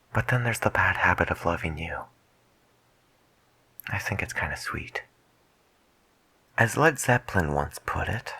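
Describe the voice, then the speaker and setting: A man speaking softly and breathily as a late-night radio DJ in an ASMR style, musing in an unhurried way close to the microphone. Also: low voice. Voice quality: breathy, whispery. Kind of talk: soft-spoken ASMR radio DJ roleplay.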